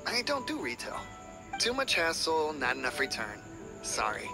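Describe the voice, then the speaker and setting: Video game merchant: reluctant, weary, easily flustered, fairly high and animated, music behind. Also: male voice, normal speed. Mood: apologetic.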